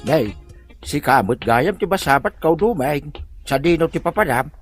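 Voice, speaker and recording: A man playing a puzzled old village neighbour in an Ilocano comedy drama, with a husky voice. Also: on the high side, lively intonation, normal speed, faint music behind.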